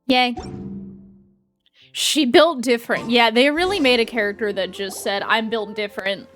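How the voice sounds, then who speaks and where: A woman's voice: a little high, moderate pace, a bit bright, soft background music. A young woman streamer giving casual gameplay commentary in informal, rambling asides. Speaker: game streamer.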